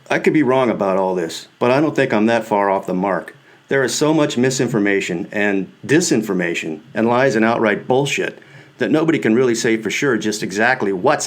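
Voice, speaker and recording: A man's voice: medium pitch, animated, a little fast, close to an everyday voice. A man reading as an earnest, grave conspiracy theorist, insistent and confiding, in an audiobook.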